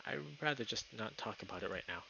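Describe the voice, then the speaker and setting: A man's voice: on the low side, animated, fast talker, gravelly, sharp tone, muffled recording, slight background noise, heavily acted. A man playing a stubborn, casual young male animal character in a visual novel. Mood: insistent.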